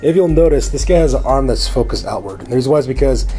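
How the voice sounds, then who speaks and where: A man hosting a miniatures hobby show, with a raspy voice from a cold, addressing viewers in a showman style and chatting casually with many fillers. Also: medium pitch, expressive, quick pace, dark tone, faint music behind. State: hoarse from a cold. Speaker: host of a miniatures hobby channel. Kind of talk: casual hobby showcase presenting.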